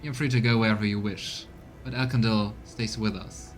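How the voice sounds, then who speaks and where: Man voicing a solemn, scornful low-voiced alien elf seer. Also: steady tone, normal speed, lightly acted. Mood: haughty. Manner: solemn, archaic, haughty. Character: scornful, grieving male alien elf farseer. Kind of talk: voiced reading of game dialogue. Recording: music behind the voice.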